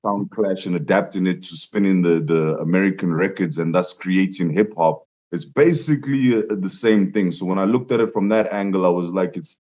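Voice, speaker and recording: A young man with a very low, deep voice tells his story in a relaxed interview. He gets animated, often laughing and lifting his voice for quoted lines. The sound is dull and lo-fi. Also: normal speed, few pauses. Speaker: musician guest.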